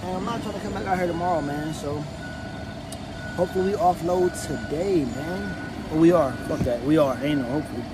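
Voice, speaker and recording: Man, American accent, thirties, high-pitched, casual slangy storytelling, repeated tag questions, very noisy vehicle and work-site background. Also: a little fast. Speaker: flatbed trucker and vlogger. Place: truck cab with open door, steel yards. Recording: loud vehicle and outdoor work-site noise.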